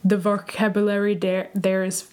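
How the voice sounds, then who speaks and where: A young woman from Germany who speaks German natively, chatting casually as a podcast co-host and often pausing with fillers and restarts. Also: mid-range voice, monotone, darkish tone, clear, resonant tone. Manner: hesitant, many fillers and restarts. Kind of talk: casual chat.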